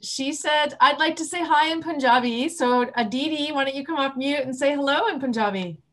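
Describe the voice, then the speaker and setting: A woman instructor introduces a student in a high, welcoming voice. She speaks in an encouraging, friendly way. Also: brisk.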